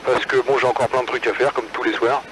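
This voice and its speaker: A man with a medium-pitched voice chats casually over a mobile amateur radio. The transmission is clearly noisy. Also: flat delivery, fast. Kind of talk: casual two-way radio chat. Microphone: mobile radio transceiver microphone.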